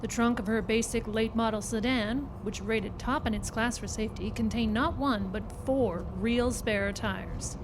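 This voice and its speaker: A woman's voice: fairly high pitch, measured pace, few pauses. A woman reading a scripted story aloud as dramatic narration at a live performance. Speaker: story reader. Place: performance club before live audience. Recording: noisy live club recording.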